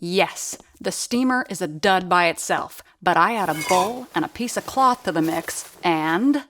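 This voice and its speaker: A woman plays a sharp-tongued 1930s radio actor and sound effects artist in an audio drama. Her lowish voice carries a dry, sardonic edge as she narrates in character. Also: lively intonation, measured pace, noisy background. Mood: stern. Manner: wry, sardonic.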